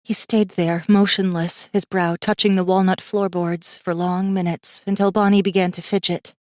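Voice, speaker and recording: A woman narrating a fiction audiobook in an expressive, scripted storytelling style. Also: fairly low pitch, moderate pace, no room sound, clean studio sound.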